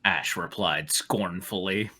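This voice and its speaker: A man's voice: deep voice, slow pace, bright, forceful tone. A man narrating a fan fiction aloud and breaking off for casual riffing.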